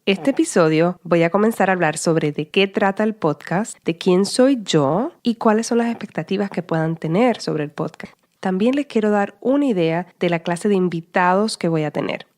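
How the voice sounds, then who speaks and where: A woman in her thirties with a Puerto Rican accent, hosting her own podcast and reading a calm, measured, scripted introduction. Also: lowish voice. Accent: Puerto Rican Spanish. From Puerto Rico. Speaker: podcast host, psychologist. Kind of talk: scripted solo podcast monologue.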